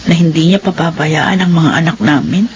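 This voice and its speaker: A woman's voice: deep voice, moderate pace, muffled recording, a little hiss or hum, lightly acted. A woman playing a torn, loving mother. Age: middle-aged. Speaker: mother of grown children. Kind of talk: radio drama acting.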